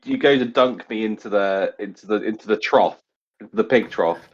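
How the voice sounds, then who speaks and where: A man with a fairly low voice improvises comic narration as a player in a roleplay game. He rambles, circling back over his phrases. The recording sounds slightly muffled. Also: moderate pace.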